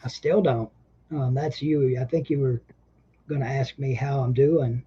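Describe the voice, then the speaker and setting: A man talks loosely on a home livestream, drawling and rambling along while a little short of breath. His voice is husky and mellow. The stream audio sounds slightly dull and lo-fi. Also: mid-range voice, steady tone, measured pace. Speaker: livestream host. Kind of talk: casual livestream chat.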